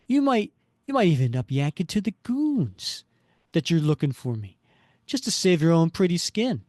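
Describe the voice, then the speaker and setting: A man's voice: on the high side, sing-song, normal speed, darkish tone, no room sound, voice pushed up. Man playing a blunt, menacing crook, dry close sound. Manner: threatening, blunt. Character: menacing, cold male criminal.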